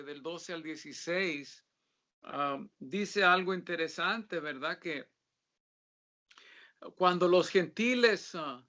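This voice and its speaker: A man with a bright, sharp voice answers questions in a casual religious interview. He speaks haltingly and pads his thoughts with filler sounds and pauses. The audio is dull and lo-fi. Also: a little high. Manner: reads scripture aloud in a steadier tone. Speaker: guest author answering questions.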